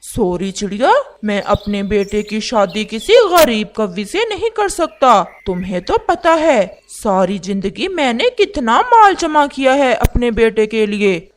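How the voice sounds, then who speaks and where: Lady doing a snooty rich old crow, bragging, swoopy high voice, slow. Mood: dismissive. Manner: scornful, brags about wealth, denies getting old. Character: rich arrogant boastful old male crow. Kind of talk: Hindi cartoon voice acting.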